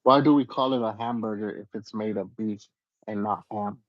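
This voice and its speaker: Dull-sounding, dry, low-voiced man chatting casually. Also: steady tone, moderate pace, dry recording. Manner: slangy, laid-back, agreeable, hedges with "like". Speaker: livestream interviewer and host. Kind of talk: casual chat interview.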